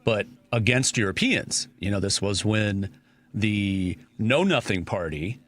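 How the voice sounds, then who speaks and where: A man's voice: fairly low pitch, expressive, measured pace, fairly bright tone. A man hosting a talk radio show, chatting loosely with many fillers and restarts. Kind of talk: casual talk radio discussion.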